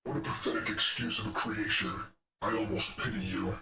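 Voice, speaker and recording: A man's voice: lowish voice, fairly even intonation, brisk, bright, forceful tone, far from the mic. A man voicing a menacing, arrogant robot henchman in a video game, fiery and sadistic, with taunting, condescending lines. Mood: contemptuous. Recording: echoey room, off the microphone.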